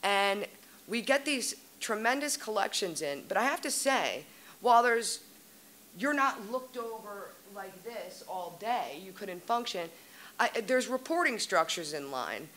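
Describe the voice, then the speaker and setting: A woman librarian on a symposium panel, reading prepared remarks and then commenting off the cuff in an earnest, halting way. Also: medium pitch, lively intonation, a bit bright, slight background noise, poor audio. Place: conference room.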